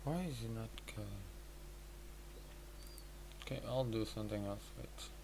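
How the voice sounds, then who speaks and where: Male streamer, unhurried and gravelly, halting casual chat over clear background noise. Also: on the low side, steady tone. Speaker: livestreamer. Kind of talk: casual livestream talk to viewers.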